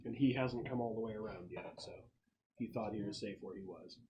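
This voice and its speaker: A man's voice: fairly low pitch, a little fast, warm tone. A man acting as game master, narrating and explaining rules in a casual, conversational way. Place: indoors at a game table. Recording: noisy room, table clicks.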